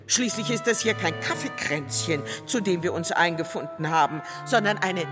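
An actress playing a stern, formal judge who admonishes in a radio drama. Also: female voice, lowish voice, expressive, moderate pace, over music, lightly acted. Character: stern female judge calling for order.

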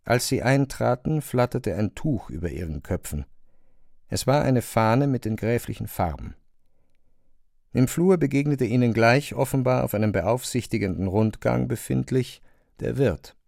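A man's voice: medium pitch, lively intonation, moderate pace, vocal fry, a little mellow, no echo, clean studio sound. A man reading scripted literary narration as the narrator of a drama.

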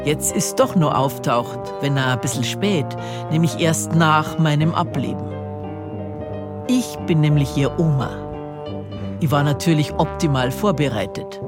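A woman playing a deceased old Bavarian grandmother and innkeeper, wryly and self-assuredly narrating an audio drama in dialect. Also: low voice. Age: older. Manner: storytelling, self-assured, dry. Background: music with brass.

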